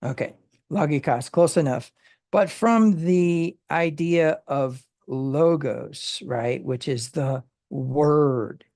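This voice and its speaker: A Bible study teacher who explains word meanings in a halting way, with many fillers and restarts. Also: medium pitch, a little mellow, no room sound, clean studio sound.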